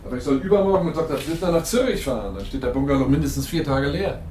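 A man's voice: fairly high pitch, animated, quick pace, darkish tone, a little hiss or hum, lightly acted. A man acting in a German radio drama as a wary, insistent security man who questions and argues. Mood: concerned. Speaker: actor in a radio play.

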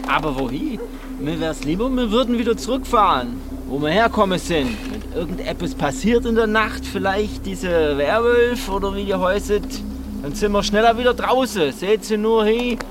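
A man playing a cautious, anxious Swabian army sergeant in a radio drama, speaking in dialect. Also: sits high. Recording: noisy outdoor street with vehicle. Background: car and outdoor ambience.

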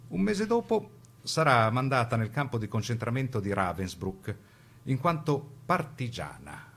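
Guy narrating a radio documentary, bit husky, measured, rhetorical scripted delivery. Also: mid-range voice.

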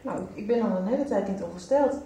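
A woman's voice: mid-range voice, lively intonation, fast, mellow, lightly acted. A young woman acting a hesitant, guarded teenage girl in a radio soap. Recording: very echoey, distant mic, faint noise.

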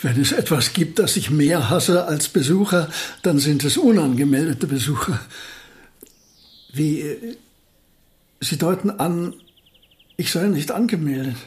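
A gentle scatterbrained old professor, kinda high voice, polite n hesitant, sorry about everything, radio drama. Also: male voice, lively intonation, unhurried, long pauses. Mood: apologetic, courteous. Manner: hesitant, courteous, apologetic. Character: gentle, absent-minded, apologetic elderly male physicist. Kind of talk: German radio play acting.

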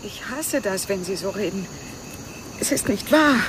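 An actress playing an intense, clinging widow in a German radio crime drama, with dramatic delivery that moves between breathy whispers and outcries. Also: female voice, medium pitch, animated, unhurried, noisy background, natural voice. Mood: wounded. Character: Janet, intense, clinging widowed lover, wounded and pleading.

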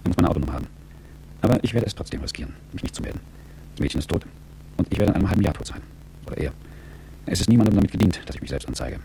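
Casting: a fatalistic, remorseless dying man, low and mellow, measured radio play monologue. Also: lively intonation, vocal fry, a little hiss or hum, rough recording. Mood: bleak, resigned. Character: fatalistic, remorseless dying man, coolly weighing his guilt. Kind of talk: radio drama interior monologue.

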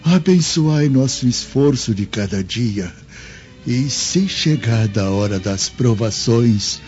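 An actor playing a pious, gentle old Samaritan man in a radio drama, speaking with solemn, reverent emotion. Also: on the low side. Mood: prayerful pleading. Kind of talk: radio drama acting, solemn preaching and prayer. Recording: dull radio-drama recording over music.

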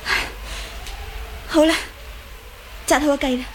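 A woman's voice: high voice, fairly even intonation, measured pace, a bit bright. An actress playing a bitter, defiant young martial arts woman in a Cantonese radio drama. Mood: matter-of-fact. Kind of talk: Cantonese audio drama acting. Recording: faint background noise.

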